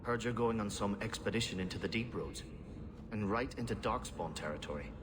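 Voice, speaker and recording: Actor as a low-voiced, streetwise poison seller. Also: male voice, animated, brisk, noisy background, natural voice. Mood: casual, wary. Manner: casual, clipped, streetwise. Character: wary mercenary poison maker, friendly old acquaintance. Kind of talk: video game drama acting.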